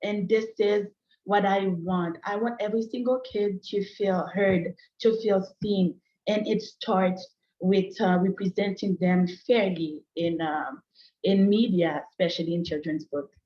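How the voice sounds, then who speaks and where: A woman whose first language is French, giving an earnest, hesitant, informal pitch as a guest author at an online meeting. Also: fairly even intonation, unhurried. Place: online video call. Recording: roomy, slightly dull video-call audio.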